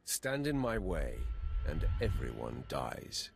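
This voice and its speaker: An actor playing a cold, ruthless Sith warrior in a video game, speaking in a curt, commanding, threatening way. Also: male voice, very deep voice, huge pitch swings, slow, vocal fry, over music, exaggerated voice. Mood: menacing. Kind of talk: cinematic video game acting.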